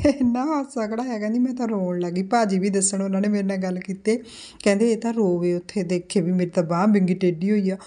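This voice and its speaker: A woman's voice: mid-range voice, dark tone. A woman in her forties with a Punjabi accent, chatting casually to a phone camera at home. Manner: chatty, with frequent tag questions. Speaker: vlogger. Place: home, indoors. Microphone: phone held at arm's length.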